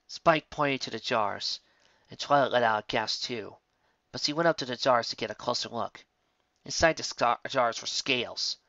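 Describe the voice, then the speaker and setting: A man's voice: mid-range voice, creaky, bright, forceful tone, no room sound. A man reading scripted fiction as a story narrator. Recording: slightly dull, close dry recording.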